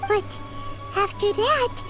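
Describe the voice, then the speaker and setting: Squeaky, tiny, curious sidekick creature. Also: female voice, slow, music in the background. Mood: curious wonder. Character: tiny childlike female companion creature, curious, wide-eyed. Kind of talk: cartoonish game voice acting.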